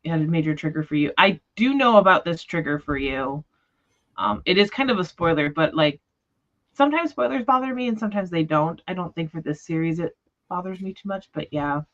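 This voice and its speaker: A woman's voice: fairly low pitch, lively intonation, brisk, fairly bright tone, dull audio. A woman hosting a book discussion livestream in casual chat, talking in an informal, rambling, tentative way. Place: remote livestream call.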